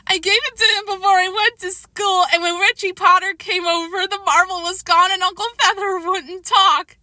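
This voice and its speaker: Woman as a high-pitched whiny little boy who stammers while sobbing, very dry sound. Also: fairly even intonation, moderate pace, pushed and bright, no room sound, heavily acted. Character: whiny sly little boy, easily scared.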